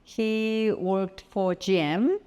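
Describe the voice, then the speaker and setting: A middle-aged woman from South Korea, whose first language is Korean, talks as a guest in a home interview. She speaks with halting pauses and many fillers. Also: mid-range voice, dark tone, smooth. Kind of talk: casual interview. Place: home studio.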